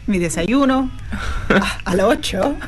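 A woman guest on a radio show, chatting casually and telling a story in an animated way, laughing often. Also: mid-range voice, slow pace. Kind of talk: casual chat.

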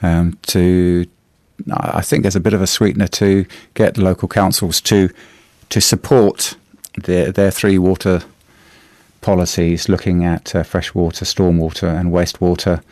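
A middle-aged man with a New Zealand accent, a slightly hoarse radio host chatting through the day's newspaper, halting with many fillers and restarts. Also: low voice, flat delivery, darkish tone, no room sound. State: a bit hoarse. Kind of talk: casual radio chat reviewing the newspaper.

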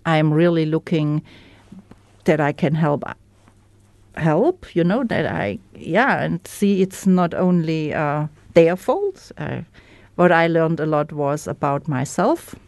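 A woman with a German accent, a native German speaker, candidly sharing her recovery story as a guest in an interview, pausing to search for words. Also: sits low, sing-song, a little mellow, no echo. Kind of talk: personal testimony in an interview.

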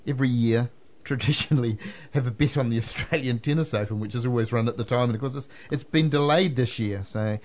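A New man hosting a radio show, jovial and teasing in relaxed chat. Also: mid-range voice, fairly quick. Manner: jovial, teasing, laughs often.

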